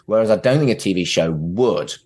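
A man's voice: low-pitched, expressive, a little fast, darkish tone, slightly rough. A man hosting a casual pop-culture discussion, opinionated and sweary, often putting questions to his guests. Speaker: podcast host.

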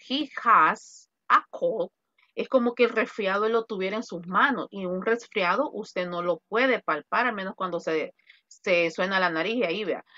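Woman, English teacher on a video call, bright, tense tone, dry and muffled sound, patient explaining. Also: mid-range voice. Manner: explains patiently, quizzes students with counting. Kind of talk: online grammar lesson in Spanish.

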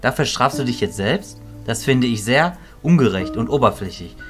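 A man playing a calm, reasoning mentor in a fantasy audio drama, measured, philosophical and reassuring. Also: mid-range voice, lightly acted. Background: music.

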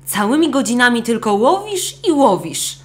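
A greedy, bossy fisherman's wife from a fairy tale, put on by a woman storyteller. She scolds with a quick temper, her voice pushed up high and demanding. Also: big pitch swings. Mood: angry.

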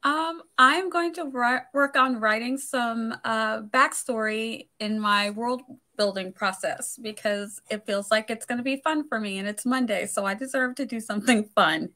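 A woman with a bright, fairly high voice talks cheerfully about her writing plans. It is a relaxed conversation on a video call. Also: normal speed, hardly any pauses. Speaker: writing stream guest. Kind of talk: casual chat on a video call.

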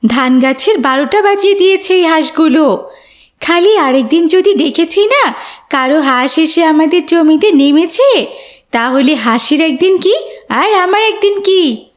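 Woman acting young schoolgirl, shrill-high pitch, bossy, indignant, urgent scolding, cartoon. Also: expressive, a little slow. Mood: annoyed, scolding. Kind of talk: children's moral cartoon voice acting.

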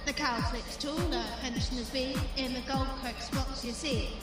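An airy fairy acted by a woman speaks lilting verse in a high voice. The radio play puts it in a big echo with loud music behind. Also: fairly even intonation, moderate pace, natural voice. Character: airy wandering female fairy, servant of the fairy queen. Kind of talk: amateur Shakespeare radio play acting. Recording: very echoey, slightly distant, dull sound.

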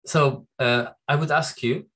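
A man in his fifties with an Italian accent, moderating a webinar panel in a conversational, halting way over a video call. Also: fairly high pitch, fairly even intonation, fairly bright tone, slightly rough. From Italy. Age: 50s. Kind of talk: introducing a panel discussion, semi-formal and conversational. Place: music room at home.

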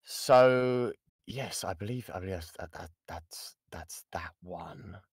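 Low-voiced British man teaching informally. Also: takes it slowly, slightly rough, no room sound, studio quality. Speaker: voice actor giving a workshop. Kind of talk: informal lesson answering audience questions. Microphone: close mic on an online voice chat.

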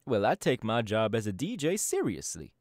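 A man's voice: on the low side, wildly swooping pitch, normal speed, a little mellow, no room sound, studio quality. A man voice acting a laid-back young male DJ gang boss in an ASMR audio roleplay, talking in an easygoing, chatty drawl. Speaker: voice actor.

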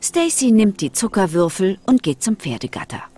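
Expressive woman narrating a story. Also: medium pitch, normal speed, a little hiss or hum.

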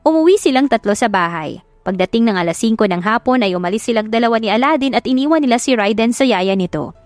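A woman's voice: on the high side, expressive, fast, darkish tone, no echo. Filipino female audiobook narrator for scripted romance, dry sound, music bed. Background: background music.

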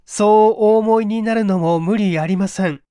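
A woman's voice: medium pitch, normal speed, mellow, no echo, natural voice. Earnest male secret agent for an audiobook played by a woman: grave, polite, urgent, close dry sound. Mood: grave, explaining. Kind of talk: dramatic audiobook reading.

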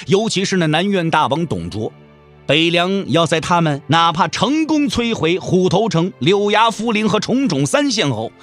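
A man narrates a martial-arts epic as a dramatic storyteller. His delivery swings widely in pitch, sitting fairly high for a man, with faint music underneath. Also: fairly bright tone.

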